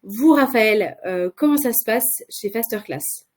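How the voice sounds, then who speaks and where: A woman's voice: on the high side, expressive, unhurried. A woman with a cold, presenting as a consultant in a live webinar, hesitant with many filler sounds and restarts. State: has a cold.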